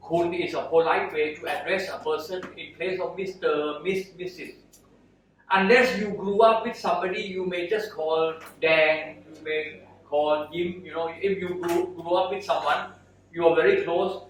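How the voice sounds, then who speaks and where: A man's voice: fairly high pitch, steady tone, moderate pace. A man hosting an event, politely explaining and repeating phrases as he introduces someone to the audience. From Thailand. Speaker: event host. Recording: roomy, distant microphone, faint noise.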